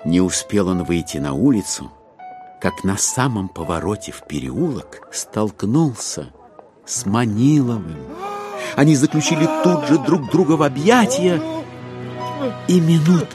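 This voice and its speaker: A man narrating a literary audio drama as a storyteller, with measured, expressive phrasing. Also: medium pitch, music in the background.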